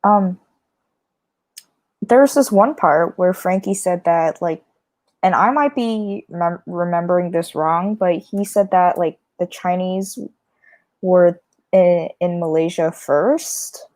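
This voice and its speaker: A woman's voice: on the low side, takes it slowly, a little mellow, no room sound. A young woman as a guest in a casual book discussion, asking questions in a tentative, hedging way.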